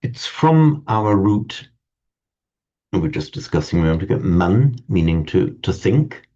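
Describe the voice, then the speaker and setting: A man teaching a Sanskrit class in a relaxed lecture manner, often stammering and restarting words. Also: low voice, a little slow. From parents from Ireland. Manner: hesitant, repeats and restarts words. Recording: dull lo-fi sound.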